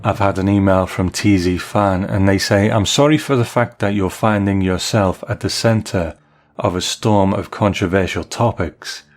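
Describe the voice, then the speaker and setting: A man's voice: deep voice, steady tone, moderate pace. A man hosting a podcast, reading out listener mail and replying in a casual way. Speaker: podcast host.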